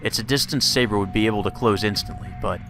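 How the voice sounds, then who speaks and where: A man reading a visual novel aloud as a let's player, expressive and given to profane asides. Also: on the low side, fast. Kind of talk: gaming commentary mixed with read-aloud narration. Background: game music.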